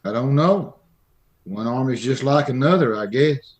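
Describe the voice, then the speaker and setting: A man's voice: mid-range voice, lively intonation, measured pace, darkish tone, close to an everyday voice. A man playing a meek, peculiar little drifter in a radio Western, speaking in a hesitant, folksy way. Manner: hesitant, simple, folksy drawl-like phrasing. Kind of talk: old-time radio Western acting.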